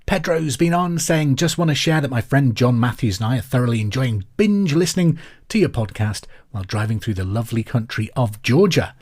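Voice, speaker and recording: A man's voice: medium pitch, expressive, brisk, a little mellow. Upbeat English male podcast quizmaster. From England. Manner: upbeat, friendly, conversational. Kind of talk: chatty podcast presenting, reading out listener messages.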